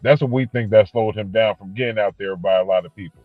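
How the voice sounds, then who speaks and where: A man on a podcast chatting casually, laid-back and quick to laugh. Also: on the low side, no echo, soft background music. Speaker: podcast co-guest, family of the boxer. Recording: tinny phone-like line.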